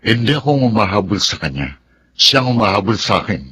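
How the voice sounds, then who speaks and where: Low-voiced, dismissive Filipino lover. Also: male voice, big pitch swings, fairly quick, pushed and bright, slightly rough, exaggerated voice. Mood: defensive. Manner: dismissive, defensive. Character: unrepentant, cool lover of a widow. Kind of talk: radio drama acting. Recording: noisy track with background ambience.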